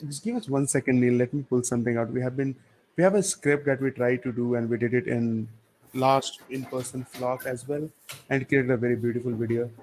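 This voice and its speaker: A man hosting an online community session, talking briskly and keeping things moving. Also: mid-range voice, steady tone, darkish tone, a little hiss or hum, low-quality recording. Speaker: session host. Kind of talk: casual video call hosting.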